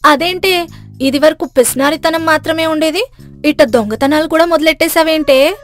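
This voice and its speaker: An indignant neighbour woman from a cartoon tale, acted out by a woman. She scolds in a very high voice, with quiet music behind. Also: normal speed, close to an everyday voice. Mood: accusing. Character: indignant, scolding neighbour women confronting a thief.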